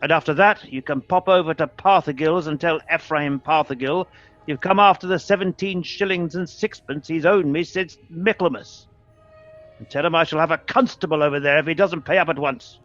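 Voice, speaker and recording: A man's voice: on the high side, normal speed, fairly bright tone, muffled recording, faint music behind, lightly acted. An actor playing a grumpy, miserly old businessman in a radio play, curt and scornful as he barks his words. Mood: curt, bossy. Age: older.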